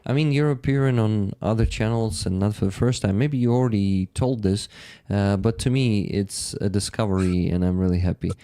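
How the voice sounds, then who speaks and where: Ukrainian man hosting, low mellow voice. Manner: friendly interviewer, many short backchannels, slightly halting. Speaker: stream host. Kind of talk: casual chat on a live stream.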